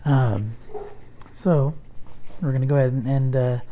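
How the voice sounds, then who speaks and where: A man who is losing his voice hosts a casual unboxing, talking through game cards in a halting, rambling way full of ums and restarts. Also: mid-range voice, mellow, a little hiss or hum. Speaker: host reviewing a card game deck. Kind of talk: casual unboxing and card review.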